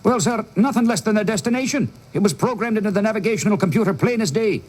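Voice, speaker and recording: An actor playing an animated, colorful starship engineer in a children's audio drama. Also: male voice, high-pitched, quick pace. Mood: eager. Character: excitable, indignant male Scottish starship engineer. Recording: vinyl record drama with loud music.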